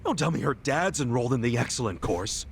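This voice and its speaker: A man's voice: mid-range voice, big pitch swings, fairly quick, vocal fry, slight background noise. A man playing a blunt, scrappy street-tough hero in an English game dub, speaking informally and expressively. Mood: incredulous.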